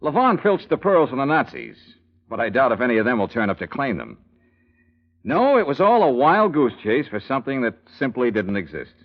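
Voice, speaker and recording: A man's voice: mid-range voice, huge pitch swings, fairly quick. An American man acting a wry, no-nonsense private investigator in a 1940s-style radio drama. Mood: matter-of-fact. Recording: dull vintage radio recording.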